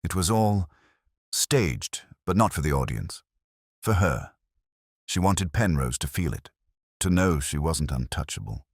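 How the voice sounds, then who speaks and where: Man voicing an anxious, husky, embittered townswoman. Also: a bass voice, lively intonation, unhurried, no echo, studio quality, full character voice. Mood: nervous, defensive. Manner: hesitant, defensive, hushed. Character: anxious, bitter, guilty small-town woman.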